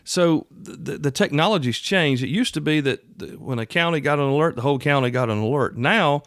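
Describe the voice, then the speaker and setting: A man's voice: fairly high pitch, fairly quick, a bit bright, dry recording, studio quality. A middle-aged man hosting a podcast, explaining things in an earnest, conversational, folksy way. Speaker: podcast host. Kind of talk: casual explanatory monologue.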